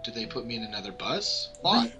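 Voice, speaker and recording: A man's voice: mid-range voice, very animated, fairly quick. A young man doing amateur character readings for a visual novel, theatrical and playful, mixed with casual banter. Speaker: let's-play co-host. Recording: loud music behind the voice.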